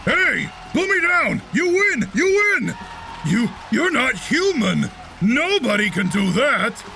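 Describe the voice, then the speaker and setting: A man's voice: very high voice, sing-song, slow, gravelly, music in the background, pitched above the performer's own voice, exaggerated voice, rough recording. Man playing a cocky, taunting wrestler. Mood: panicked. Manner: taunting, slangy. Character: cocky brawny male wrestler, mocking street tough.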